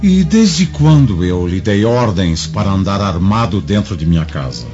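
An actor playing an imperious, suspicious Roman senator in a radio drama, interrogating in a commanding tone, recorded muffled with music behind. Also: male voice, low-pitched, big pitch swings, moderate pace. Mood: stern, suspicious. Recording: muffled audio under background music.

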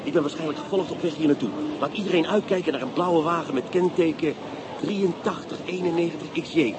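A man's voice: a little high, a little fast, background noise, natural voice. An actor playing a brusque male police inspector with dry humor and little patience. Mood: urgent. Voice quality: gruff, impatient, dry humor. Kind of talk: radio drama acting.

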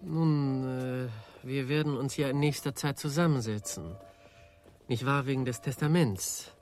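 Greedy heir, male actor feigning affection, polite, deliberate, mid-pitched, radio play. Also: a little mellow, close to an everyday voice. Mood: politely eager. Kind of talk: German radio drama acting.

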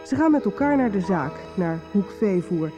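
A woman's voice: mid-range voice, expressive, normal speed. A woman giving scripted, dramatic recap narration for a radio soap. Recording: music behind the voice.

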